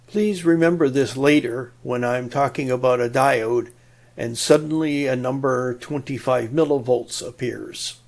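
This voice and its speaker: Slow-paced, creaky-voiced man explaining electronics. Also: mid-range voice, a little mellow. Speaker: presenter of an electronics tutorial. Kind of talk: explanatory technical narration.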